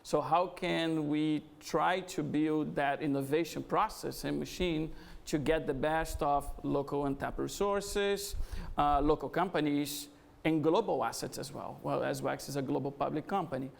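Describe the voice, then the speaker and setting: A middle-aged Brazilian man with a gravelly, slightly high voice speaks to an event audience. His Brazilian Portuguese accent is strong, and he pauses often to find his words. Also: steady tone. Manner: hesitant, with frequent "uh" fillers. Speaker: guest speaker, business executive. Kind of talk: conversational fireside interview. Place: event venue before an audience.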